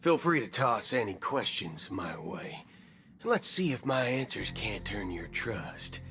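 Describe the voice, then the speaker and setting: A low, drawling space cowboy gunslinger. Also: male voice, big pitch swings, unhurried, music in the background. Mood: easygoing. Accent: American Southern cowboy drawl. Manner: drawling, folksy, theatrical. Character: folksy, swaggering space cowboy gunslinger man. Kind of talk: video game character acting.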